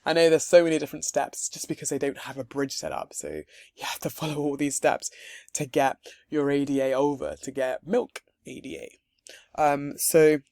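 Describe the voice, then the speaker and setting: A man talks through a crypto wallet setup in a relaxed, chatty way, his voice hoarse and husky because he is losing it. He sits a little high for a male voice and laughs lightly between steps. The sound is close and dry, with no room around it. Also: normal speed, no room sound. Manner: chatty and upbeat, with light laughs and frequent um's. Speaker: crypto tutorial creator. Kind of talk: casual screen-share walkthrough.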